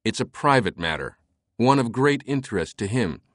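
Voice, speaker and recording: A man's voice: mid-range voice, animated, moderate pace, dry recording, clean studio sound, natural voice. A man voicing an earnest, courteous scholar who pleads politely and urgently in an audiobook.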